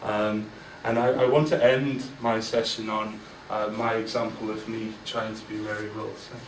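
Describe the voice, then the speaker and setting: A man's voice: lowish voice, little pitch movement, moderate pace, slight background noise. A man in his forties speaking candidly and informally to an audience at a business event, with many fillers and restarts. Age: 40s. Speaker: guest speaker. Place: large event room with an audience. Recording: roomy, distant mic with faint ambience.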